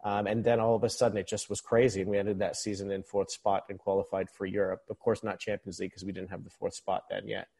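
A man's voice: lowish voice, flat delivery, quick pace, vocal fry, hardly any pauses, a little mellow, husky. A man in his thirties from the Philippines, talking casually about sports as a podcast guest. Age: 30s. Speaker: podcast guest, football supporters' club member. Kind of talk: casual conversational sports commentary.

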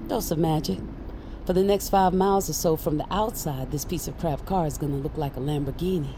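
An actress playing a brisk, cocky guide to a hidden magical world, breezy and teasing in audio drama dialogue. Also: female voice, sits low, lightly acted. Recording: street and car noise behind.

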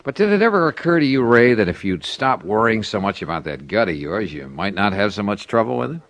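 An American man playing a wry, hard-boiled insurance investigator in a 1950s radio detective drama, brisk in manner. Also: on the low side, very animated, a bit bright, no echo. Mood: easygoing. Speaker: lead actor and narrator of a radio detective series. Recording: vintage radio recording with music.

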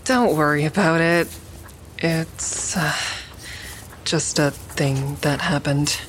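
Low-voiced young woman narrating an audio drama. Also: slow, a little hiss or hum. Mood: subdued. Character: curious, playfully teasing woman narrating her night out. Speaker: narrator and lead character. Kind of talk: audio drama first-person narration and natural dialogue. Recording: faint music behind the voice.

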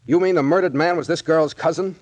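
An actor playing a stern, suspicious police captain in 1940s radio style, with a blunt, commanding way of questioning. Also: male voice, a little high, brisk, no echo, lightly acted. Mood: pressing, suspicious.

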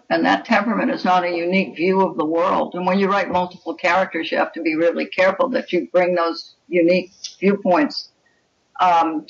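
A woman's voice: on the low side, gravelly. An older woman speaking as a guest author in a conversational interview, with an opinionated, emphatic and instructive manner. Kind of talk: conversational interview talk on writing. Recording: dull, lo-fi connection.

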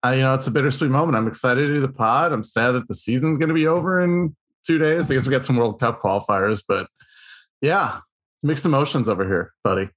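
Excitable rambling podcast co-host man. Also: mid-range voice, fast talker. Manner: excitable, rambling, lots of fillers and restarts. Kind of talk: casual sports podcast chat.

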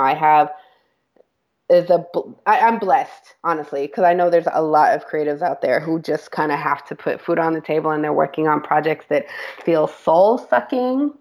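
A woman's voice: low voice, darkish tone. A woman creative director speaking as a podcast guest, candid and chatty, laughing now and then and correcting herself as she goes. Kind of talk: casual interview talk.

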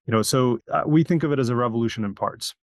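A middle-aged man, born in Crimea and raised in Virginia, giving measured, explanatory interview answers with frequent restarts. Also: mid-range voice, no echo, clean studio sound. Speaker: company founder.

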